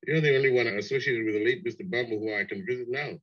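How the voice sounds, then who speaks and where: An actor playing a polite, needling former chauffeur who dwells wistfully on old days in a 1960s radio drama. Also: male voice, mid-range voice, fast talker, fairly bright tone, lightly acted. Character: nostalgic, ingratiating former chauffeur, loyal visitor. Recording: dull old 1960s radio play recording.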